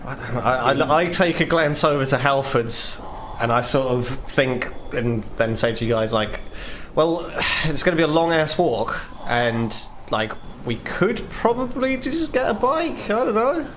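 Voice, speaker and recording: Guy playing rpg, kinda high voice, rambles, lots of likes, bit of hiss. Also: expressive, unhurried, few pauses, fairly bright tone, low-quality recording. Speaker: player. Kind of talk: in-character play, narrating own actions.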